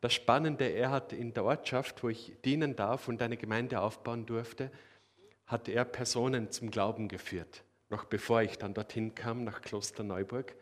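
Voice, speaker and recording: Slow-speaking Austrian pastor. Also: male voice, mid-range voice. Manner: slow, earnest preaching with emphatic rises. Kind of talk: sermon.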